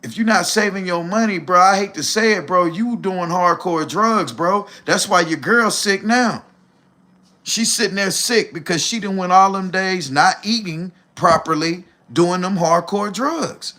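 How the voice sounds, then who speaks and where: A man's voice: high-pitched, unhurried, pushed and bright. A man, talking bluntly and preachily on a casual livestream, swearing freely and calling the listener "bro". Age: 40s. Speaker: livestream host.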